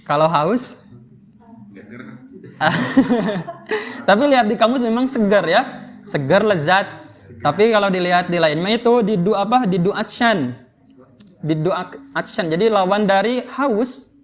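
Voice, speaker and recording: A man's voice: high-pitched, animated, slow, pauses often. A man with an Indonesian accent teaching Arabic grammar informally, explaining and prompting students with questions. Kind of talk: interactive lesson, explaining and quizzing students.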